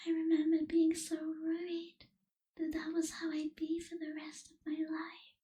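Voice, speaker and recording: A woman playing a lonely, newly turned young female vampire in a soft, breathy, whispered ASMR roleplay, wistful and gentle. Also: very high voice, completely flat delivery. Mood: melancholy, reflective. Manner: slow, hushed, wistful, gentle pauses.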